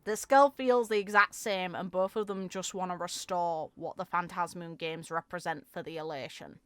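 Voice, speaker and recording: A woman's voice: on the low side, normal speed, clear, resonant tone, no echo. A woman giving casual reaction commentary on a game, musing aloud with short emphatic asides. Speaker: content creator reacting to game voicelines.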